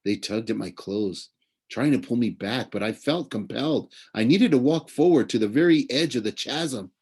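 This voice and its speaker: A man narrating a children's novel aloud like a teacher reading to students, in an expressive storytelling way. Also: mid-range voice, moderate pace, slightly rough.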